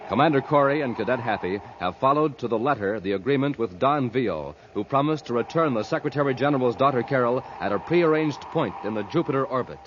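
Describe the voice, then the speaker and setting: A man's voice: mid-range voice, animated, brisk, few pauses, noisy background. A man announcing in punchy, emphatic, folksy 1950s radio style. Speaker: announcer and narrator. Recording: dull old radio recording.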